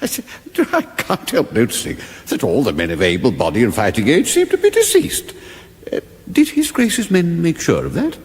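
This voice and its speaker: A man's voice: fairly high pitch, wildly swooping pitch, normal speed, darkish tone. An actor playing a foolish, timid king, over-polite, hesitant and bumbling, in a radio fantasy comedy. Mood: mildly puzzled. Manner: hesitant, bumbling, mildly apologetic. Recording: echoey reverberant hall.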